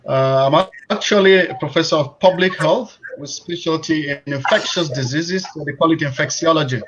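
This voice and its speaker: A man, a public health professor, introducing himself on a panel in a measured way with frequent hesitations. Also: a little high, lo-fi sound, background noise. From Cameroon.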